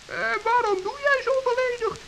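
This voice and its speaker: A man voicing a cheerful, childlike little gnome in a children's radio play, eager and friendly, with a squeaky, creaky voice. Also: fairly even intonation, normal speed, fairly bright tone. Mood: puzzled, friendly. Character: small, cheerful male forest gnome, kind and playful. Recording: hissy old radio recording.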